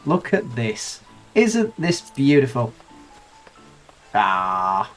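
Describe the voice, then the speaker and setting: A man casually commenting on a game playthrough with a wry, self-deprecating humor. His middle-pitched voice is nasal and a bit subdued from a bad cold. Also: sing-song, extremely slow, fairly bright tone, faint music behind. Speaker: gaming video host. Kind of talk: casual let's-play commentary.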